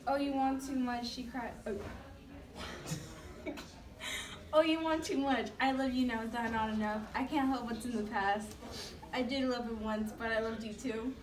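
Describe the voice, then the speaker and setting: A woman's voice: on the high side, fairly even intonation, moderate pace, pauses often, natural voice. A woman playing a fragile, pleading young wife. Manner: pleading, emotional. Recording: roomy, from far off, with noise.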